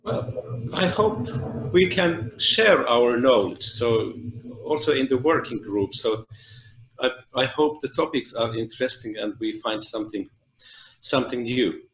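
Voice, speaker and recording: A man's voice: medium pitch, unhurried, fairly bright tone. A man from the working committee says a few hopeful, hesitant words on a video call. The room around him echoes, and faint ambient noise sits behind his voice. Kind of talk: brief remarks on a video call.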